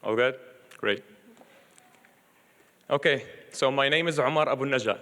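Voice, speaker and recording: A young man from Libya, giving a loud, earnest graduation speech on behalf of his classmates in a large auditorium. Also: medium pitch, unhurried. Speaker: graduate speaker. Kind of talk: formal commencement speech. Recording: long reverb of a large auditorium.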